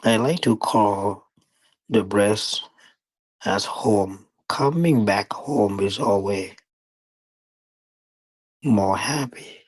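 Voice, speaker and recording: Slow, low-voiced man guiding meditation. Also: slightly rough, dry recording, poor audio. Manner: deliberate, halting phrasing with long pauses. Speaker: meditation teacher. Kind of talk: guided meditation dharma talk.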